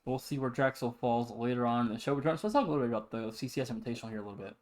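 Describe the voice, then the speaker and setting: A man's voice: mid-range voice, very fast, a little mellow. A man co-hosting a sports podcast, analyzing results and past seasons in an emphatic, opinionated way.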